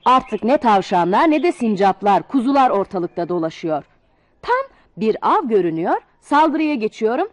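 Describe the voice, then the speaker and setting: A woman voicing an angry, suspicious male eagle chief in a storybook tale, blustering and shouting. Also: on the high side, very animated, normal speed, no room sound.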